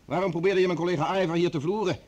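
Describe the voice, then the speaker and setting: An actor playing a probing scientist who is calmly in charge, in an old radio drama, with an authoritative, interrogating manner. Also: male voice, high voice, very fast.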